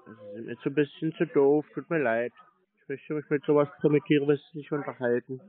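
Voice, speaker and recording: A man's voice: fairly high pitch, brisk. A man talking tersely on the phone in a dry, dismissive way. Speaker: call recipient baiting scam callers. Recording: muffled narrowband phone line.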